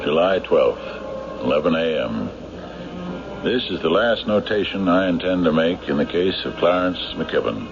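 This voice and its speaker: An actor playing a stubborn, hard-driving sheriff in an old-time radio drama, blunt, insistent and sardonic. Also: male voice, sits low, animated, moderate pace, over music. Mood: grave, resigned. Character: stubborn, hard-driving small-town sheriff, certain of guilt. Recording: noisy, muffled vintage radio broadcast.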